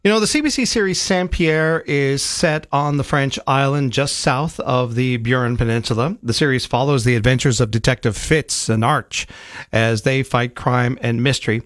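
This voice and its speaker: A man's voice: medium pitch, normal speed, a bit bright, no echo, clean studio sound. A man hosting a morning radio show, friendly and conversational. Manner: friendly, conversational, some stumbles. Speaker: radio host.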